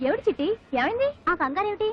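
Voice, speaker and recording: A woman's voice: extremely high pitch, big pitch swings, racing. A woman playing a worried, flustered young sister in a film scene. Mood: worried, urgent. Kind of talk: Telugu film acting. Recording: dull lo-fi soundtrack, loud music.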